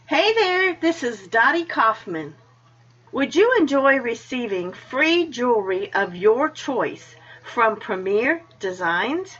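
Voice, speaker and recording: A woman's voice: fairly high pitch, big pitch swings, slow, a bit bright, lo-fi sound. A woman hosting a radio show, chatting and reading scripted announcements. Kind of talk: friendly interview sign-off and scripted sponsor reads.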